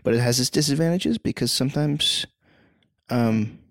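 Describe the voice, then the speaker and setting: Gravelly, laughing podcast co-host, a man. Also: mid-range voice, normal speed, no room sound, clean studio sound. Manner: laughs often, rambling and self-deprecating. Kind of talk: casual discussion.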